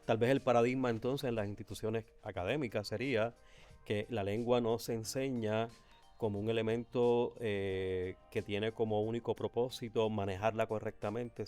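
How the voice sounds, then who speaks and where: Courteous host, low voice. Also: male voice, a little mellow. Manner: courteous, affirming, formal. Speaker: interview host, professor. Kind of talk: formal academic interview.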